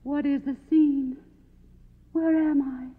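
A woman's voice: high voice, fairly even intonation, takes it slowly. An actress playing a deluded, grand, middle-aged former film queen in a 1950s radio drama, theatrical and dreamy. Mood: dazed. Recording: tinny vintage radio recording, music underneath.

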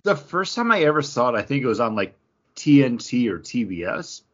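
Husky-voiced chatty man, lively pitch. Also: a little fast. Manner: chatty, laughs often, many "like" fillers. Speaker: podcast co-host. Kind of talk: casual chat. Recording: slightly dull lo-fi audio.

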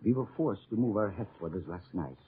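An actor playing an effusive, excitable French resistance leader with a French accent in an old radio drama. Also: male voice, fairly low pitch, fairly quick, breathy edge. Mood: jaunty. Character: exuberant little French underground leader, effusive and warm. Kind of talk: 1940s-50s radio drama acting. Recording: tinny, faintly noisy old radio recording.

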